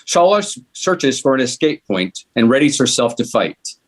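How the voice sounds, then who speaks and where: Man reading stage directions, medium pitch. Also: very animated, moderate pace. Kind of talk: scripted narration at table read.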